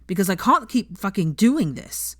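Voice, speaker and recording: A woman voicing an anguished, angry young man in a dramatic audiobook, forceful, bitter and pleading. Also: medium pitch, big pitch swings, normal speed, no room sound, studio quality. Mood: desperate. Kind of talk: dramatic audiobook character acting.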